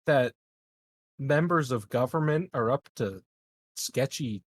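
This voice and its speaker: A man's voice: medium pitch, animated, takes it slowly, dry recording, studio quality. A man chatting casually as a player in an online tabletop game. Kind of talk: casual chat.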